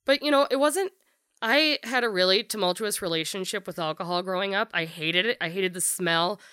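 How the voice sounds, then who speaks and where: A woman in her thirties with a deep voice, talking frankly and bluntly as a podcast guest, swearing freely and using lots of fillers. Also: a little fast, sharp tone, clean tone, no echo, clean studio sound. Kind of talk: casual candid conversation.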